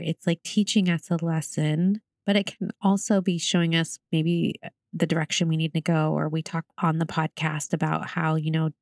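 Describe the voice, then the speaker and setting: Podcast host, female, low-pitched, close dry recording, casual and reflective, affirming conversational delivery. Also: creaky, a little mellow, no room sound. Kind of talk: casual, reflective interview chat.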